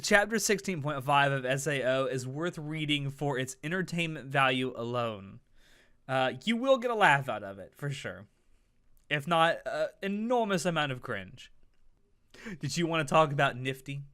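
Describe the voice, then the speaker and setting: A man's voice: mid-range voice, lively intonation, normal speed, pushed and bright, clean tone, dry recording, clean studio sound. A man hosting a casual podcast, talking in a rambling, jokey way. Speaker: podcast host.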